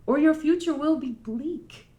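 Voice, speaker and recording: A woman voicing a stern female fortune-telling teacher who lectures in a grave, measured way at a table read. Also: high voice, muffled recording, background noise. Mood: solemn.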